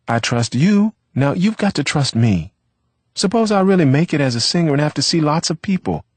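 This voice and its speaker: A man's voice: a little high, animated, moderate pace, darkish tone, no room sound, pitched above the performer's own voice. A man voicing a young woman lover who coaxes and pleads defensively, in a wheedling manner. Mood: coaxing.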